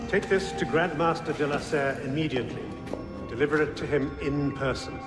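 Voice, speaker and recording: An actor playing a scheming, zealous leader of a secret brotherhood in a video game, with a theatrical, commanding delivery. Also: male voice, a little high, steady tone, brisk, over loud music, lightly acted. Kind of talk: dramatic video game cutscene acting.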